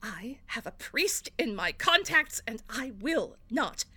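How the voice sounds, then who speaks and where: Woman as high, animated, exasperated stage director. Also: takes it slowly, slightly rough, exaggerated voice. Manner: blunt, sarcastic.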